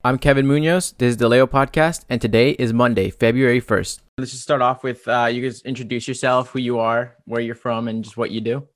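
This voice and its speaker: A man hosting a casual podcast interview over a call. He sounds relaxed and encouraging, laughs easily, and pauses a little with "um" as he works out his questions. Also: medium pitch, faint music behind. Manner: relaxed, supportive, laughs often, some hesitation. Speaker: podcast host.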